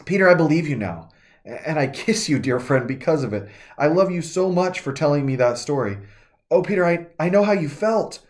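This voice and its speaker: A man's voice: on the high side, animated, a little fast, lightly acted. A man voicing a shaken, grieving woman disciple in an intimate first-person devotional monologue, urgent and trembling. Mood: awestruck. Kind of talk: devotional reading in character.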